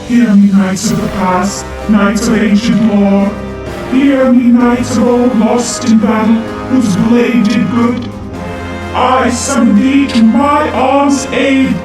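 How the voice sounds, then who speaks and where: A woman's voice: a little high, level tone, slow pace. A woman playing a solemn witch in an audio drama, chanting a commanding incantation. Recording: echoey, distant, under loud music.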